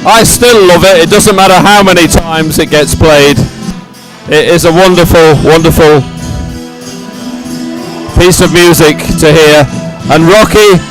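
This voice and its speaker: A man's voice: a little high, unhurried, rough recording. A middle-aged man giving live, ad-libbed sports commentary in an effusive, sentimental way, repeating phrases for emphasis. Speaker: event commentator. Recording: music playing behind the voice. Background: loud stadium music at a medal ceremony.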